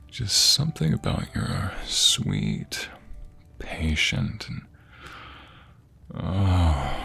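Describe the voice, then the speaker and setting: A man playing a doting, tender husband in an intimate ASMR roleplay, speaking softly and often slipping into breathy whispers. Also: sits low, exaggerated voice. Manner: soft, breathy, lingering delivery dropping into whispers. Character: doting, tender husband comforting his sweetheart.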